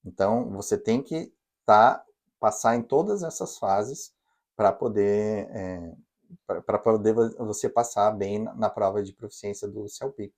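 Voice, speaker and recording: Mellow Brazilian man giving advice. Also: fairly low pitch, measured pace. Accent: Brazilian Portuguese. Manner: explanatory, advising listeners directly. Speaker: podcast co-host. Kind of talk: casual advice chat. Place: living in Canada.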